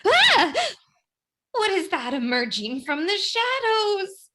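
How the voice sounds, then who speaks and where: Jumpy, squeaky comic woman: radio play, sky-high voice, wildly swooping delivery. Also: very animated, slow pace, sharp tone, full character voice. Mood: nervous. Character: jumpy, cowardly comic woman fleeing in panic. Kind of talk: comic old-time radio play acting.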